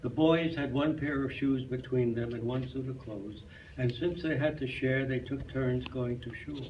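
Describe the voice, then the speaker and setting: A man's voice: medium pitch, normal speed, dull audio. A man reading a story aloud at a live reader's theater, recorded in a hall from a distance. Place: clubhouse hall, live performance. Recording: distant mic in hall, faint noise.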